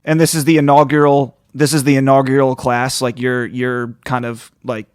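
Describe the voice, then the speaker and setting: Casual male podcast host, low and dry. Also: flat delivery, normal speed, clean tone, no echo. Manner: rambling, informal, peppered with slang and swearing. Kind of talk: casual interview chat.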